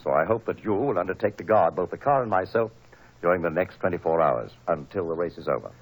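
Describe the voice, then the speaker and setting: Casting: proud rich inventor, husky and lively in pitch, earnest enthusiast, old-time radio drama. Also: male voice, fast talker, rough recording. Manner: emphatic, earnest. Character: wealthy, proud, enthusiastic male motor car inventor.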